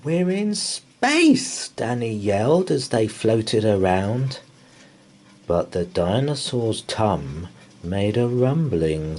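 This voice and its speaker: A man reading a children's bedtime story aloud in rhyme, with an expressive, theatrical delivery. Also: lowish voice, slight background noise.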